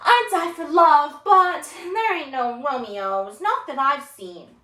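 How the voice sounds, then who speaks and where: Casting: woman as sassy unruly schoolgirl for solo stage drama, very lively high voice, defiant and flippant, echoey room. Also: slow pace, full character voice. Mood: theatrical, bored. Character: brash, slangy, unruly young female student. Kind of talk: solo dramatic interpretation performance.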